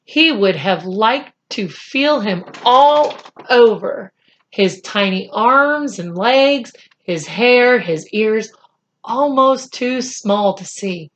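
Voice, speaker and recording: A woman reading a children's chapter book aloud in a deliberate, expressive storytelling style. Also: fairly high pitch. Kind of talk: read-aloud storytelling narration.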